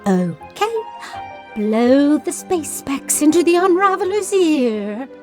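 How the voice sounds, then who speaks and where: A woman's voice: high-pitched, big pitch swings, slow, faint music behind, full character voice. A woman playing a solemn, pompous mystical seer in a comedic audio drama, speaking in a portentous, ceremonial way. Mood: solemn, instructing.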